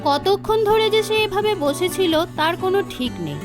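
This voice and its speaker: A woman narrating a children's folk tale in an expressive, dramatic storytelling manner. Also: very high-pitched, normal speed, over music.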